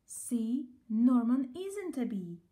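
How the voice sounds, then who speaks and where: Woman voicing a high-pitched, clever little girl bee. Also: sing-song, takes it slowly, warm tone. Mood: insistent.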